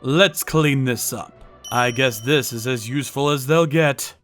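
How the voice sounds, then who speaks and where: A man narrating a manga dub as a first-person story, with a measured, menacing, theatrical delivery. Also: mid-range voice, a bit bright, faint music behind.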